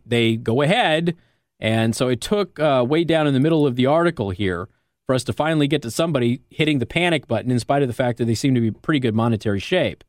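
An American man works as a talk radio host, speaking in an emphatic, conversational monologue. His voice sits slightly high and moves very freely up and down as he makes his points. The sound is dry and close, like a studio broadcast microphone. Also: no room sound. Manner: emphatic, argumentative, rhetorical check-ins like "okay?". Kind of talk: opinionated news commentary, conversational monologue.

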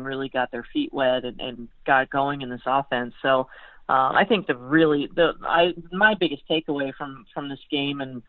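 An American woman talking sports as a guest analyst in a halting, conversational way, often repeating and rephrasing her words. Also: a bass voice, dry recording. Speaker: sports beat writer, podcast guest. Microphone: phone call. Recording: tinny narrowband phone line.

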